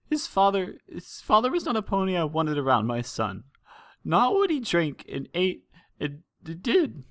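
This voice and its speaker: A distraught cartoon mother pony, acted out by a man reading a story. The voice is high and breathy, with a tremble and frequent stammers. It pleads tearfully and swings widely in pitch. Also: wildly swooping pitch, fairly bright tone, dry recording, clean studio sound, exaggerated voice. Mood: anxious, halting. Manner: halting, stammering through sobs. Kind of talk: fan fiction audiobook character voice.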